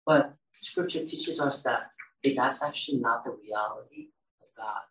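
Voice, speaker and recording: A man's voice: medium pitch, moderate pace, fairly bright tone. A man preaching a sermon in a conversational way, asking his congregation questions as he goes. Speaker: pastor. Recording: roomy echo, mic at a distance.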